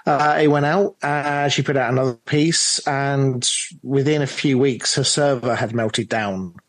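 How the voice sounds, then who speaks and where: A man's voice: mid-range voice, fairly even intonation, unhurried. A man with an English accent, speaking casually as an interview guest. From England. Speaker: interview guest, audio drama director.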